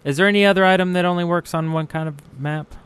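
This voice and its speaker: A man's voice: high-pitched, fairly even intonation, fast, fairly bright tone, clean tone. A man co-hosting a gaming podcast in casual, conversational chat. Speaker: podcast co-host.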